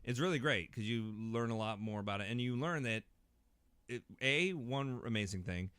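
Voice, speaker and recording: A man's voice: mid-range voice, animated, moderate pace, fairly bright tone, clean tone, no room sound. A man chatting casually as a podcast guest, talkative and given to joking.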